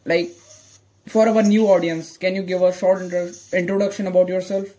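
A man's voice: high-pitched, little pitch movement, normal speed, dark tone, poor audio. A man hosting a podcast, opening the episode and asking his guests questions in an earnest, slightly hesitant way. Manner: earnest, repeats phrases, hesitant fillers. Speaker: podcast host. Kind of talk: hosted podcast intro and interview.

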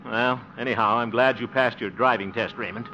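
An actor playing a blustery, hopeful older cousin in a 1950s radio sitcom, exclaiming theatrically. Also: male voice, mid-range voice, steady tone, brisk, lightly acted. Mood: coaching. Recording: muffled, hissy 1950s radio recording.

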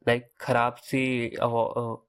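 A man's voice: medium pitch, monotone, extremely slow, clean tone. A young man hosting a podcast, telling a story in a loose, conversational way with English words mixed in. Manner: conversational, Hinglish fillers and restarts. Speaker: podcast host. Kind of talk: casual storytelling.

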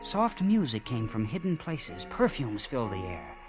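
A man's voice: medium pitch, wildly swooping pitch, normal speed, music in the background. A man acting an earnest, wonderstruck young guest who tells his story in an old-time radio drama. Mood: wistful, wondering. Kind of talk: 1940s-50s radio drama acting and narration.

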